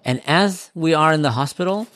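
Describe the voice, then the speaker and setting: A young man telling a long story in casual podcast chat, animated and full of quick restarts. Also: on the high side, no echo.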